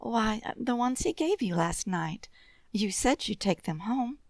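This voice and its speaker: A woman's voice: fairly high pitch, expressive, unhurried, no echo, studio quality. A woman acting a polite, flustered office secretary in an old-style radio drama, with a hesitant manner. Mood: polite, puzzled.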